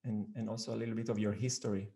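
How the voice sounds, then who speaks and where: Halting man with low mellow voice. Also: little pitch movement, vocal fry. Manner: halting, repeats words while searching for phrasing. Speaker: podcast host. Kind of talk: casual conversational interview.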